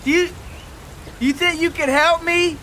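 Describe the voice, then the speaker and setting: A man voicing a timid, desperate young boy who whines and pleads haltingly in an audio story. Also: steady tone, pushed and bright, a little hiss or hum, full character voice. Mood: ashamed, pleading. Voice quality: high-pitched, whining. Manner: halting, repeating himself.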